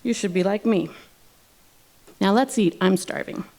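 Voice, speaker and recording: A woman's voice: mid-range voice, measured pace, a little mellow, lightly acted. A woman voicing a precocious eight-year-old boy bear, matter-of-fact and a little pedantic, in a told fairy tale. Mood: earnest, confident.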